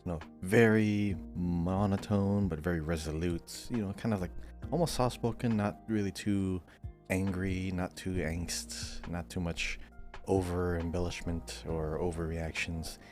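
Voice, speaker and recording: A very low-voiced man talks over background music. He is a podcast co-host, explaining game lore in a casual, conversational style. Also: fairly even intonation, measured pace, darkish tone. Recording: music playing behind.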